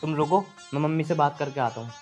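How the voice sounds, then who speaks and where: A man's voice: on the high side, fast talker, faint music behind, lightly acted. A man playing a young husband caught between his wife and mother in a family drama skit, coaxing and insistent.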